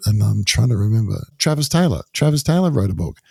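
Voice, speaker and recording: A man guesting on a podcast, talking in a casual, measured, down-to-earth way, with a croaky voice. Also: on the low side, sing-song, mellow, no echo, studio quality. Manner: measured, down-to-earth, urges sticking to facts.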